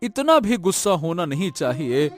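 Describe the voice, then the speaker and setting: An anxious village husband acted by a man in a cartoon moral tale. His voice sits fairly high for a man and frets in regretful laments, with music underneath. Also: very animated, moderate pace. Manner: lamenting, repeating words anxiously. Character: worried, regretful husband, a poor village woodcutter. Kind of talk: cartoon moral story acting.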